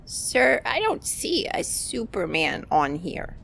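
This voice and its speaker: Woman doing bored bureaucrat clerk lady for radio drama, super gravelly fry, slow, deadpan. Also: mid-range voice, a little hiss or hum, full character voice. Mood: bored, unhelpful. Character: unhelpful, pedantic alien call-window clerk, female. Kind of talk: radio play acting.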